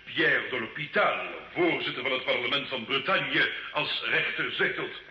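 A man's voice: mid-range voice, lively intonation, a little slow, natural voice. An actor playing a proud, defiant medieval nobleman in a radio play, grandiloquent and mocking. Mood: ironic. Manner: grandiloquent, sarcastic, theatrical. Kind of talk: old Dutch-language radio play acting. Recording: dull, echoey old radio recording.

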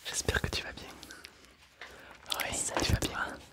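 Whispering young man playing a gleeful ghoul. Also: moderate pace. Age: 20s. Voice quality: breathy whisper. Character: gleefully gruesome brain-eating male zombie and psychopath. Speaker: ASMR roleplay performer. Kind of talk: whispered ASMR Halloween roleplay.

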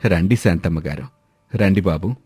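Man as a low, cautious village father. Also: moderate pace, dark tone, breathy edge, soft background music. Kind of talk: animated moral story dialogue.

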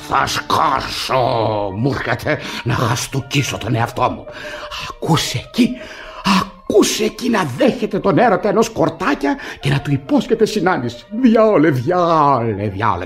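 An actor playing a bitter, self-pitying commoner husband in a radio comedy, exasperated and full of lament. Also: male voice, mid-range voice. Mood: indignant. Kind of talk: radio theatre comedy acting.

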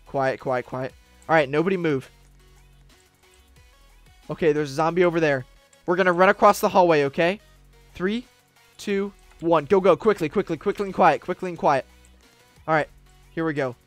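A man's voice: fairly high pitch, moderate pace, long pauses, no room sound, faint music behind. Young man barking urgent squad orders. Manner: urgent, barking orders, swings between hushed and shouting. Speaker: streamer leading a roleplay team. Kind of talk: in-character roleplay commands. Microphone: desk mic, webcam streamer.

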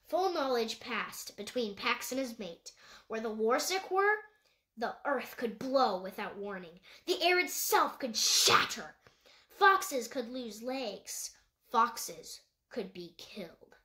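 A woman's voice: on the high side, lively intonation, slow, pushed and bright, reverberant. A woman reading a children's animal novel aloud as scripted audiobook narration. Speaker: audiobook reader.

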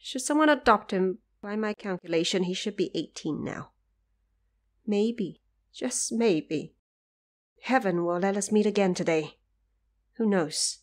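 A woman voicing a grieving noble mother who speaks in a hushed, urgent way in an audio drama. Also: mid-range voice, lively intonation, a little slow, long pauses, lightly acted. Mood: hushed, anxious.

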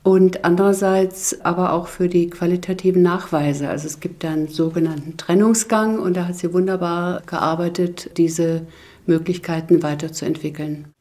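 A woman's voice: lowish voice, fairly even intonation, normal speed, dark tone. A woman professor giving a spontaneous expert explanation in an interview.

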